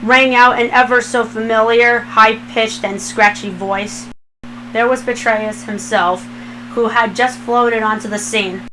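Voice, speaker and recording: A woman's voice: fairly high pitch, steady tone, a little slow, background noise. A woman reading fan fiction aloud as a narrator, in a scripted storytelling style.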